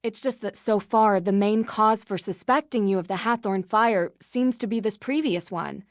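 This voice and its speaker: A woman playing a reasonable young female detective in a video game, asking questions in a clear, measured, earnest way. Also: mid-range voice, no echo, studio quality, lightly acted. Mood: sympathetic.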